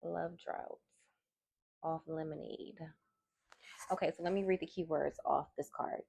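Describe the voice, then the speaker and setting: Woman tarot reader, soft and low, slow gravelly delivery, dry close sound. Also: fairly even intonation, a little hiss or hum. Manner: soft-spoken, slow and musing. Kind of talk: soft-spoken tarot reading. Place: indoors at a table.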